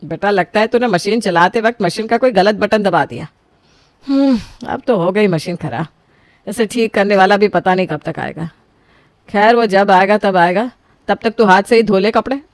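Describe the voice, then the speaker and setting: A woman plays a stern, penny-pinching mother-in-law in a family storybook cartoon. She nags and scolds in a mid-pitched voice, recorded dry and close. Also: moderate pace, no echo, natural voice. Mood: bossy. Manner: scolding, bossy, calls everyone beta. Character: strict frugal middle-aged mother-in-law, scolding. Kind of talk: scripted family story voicing.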